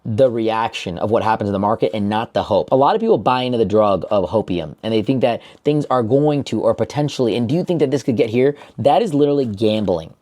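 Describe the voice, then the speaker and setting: A man's voice: fairly low pitch, lively intonation, fast, few pauses, mellow. A man talking off the cuff as a stock trading YouTuber, coaching listeners directly and repeating words for emphasis. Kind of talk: unscripted market commentary to camera.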